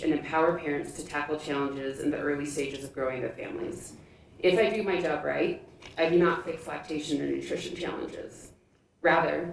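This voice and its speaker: Echoey, even-pitched woman giving a prepared speech. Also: creaky. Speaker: school board candidate. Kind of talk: prepared campaign statement at a forum. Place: indoor public forum room. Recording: echoey room from far off, faint noise.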